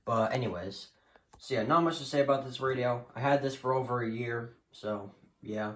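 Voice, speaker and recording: Halting man showing off a collection. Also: medium pitch. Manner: halting, hesitant, trailing off. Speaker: hobbyist collector presenting a radio collection. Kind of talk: casual unscripted show-and-tell.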